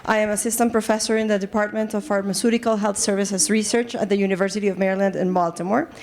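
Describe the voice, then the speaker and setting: A woman's voice: mid-range voice, fairly even intonation, quick pace, a little mellow. Colombian woman giving an academic lecture. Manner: earnest, lots of fillers and restarts, engages audience. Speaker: assistant professor, presenter. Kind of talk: academic lecture to a live group. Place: conference room.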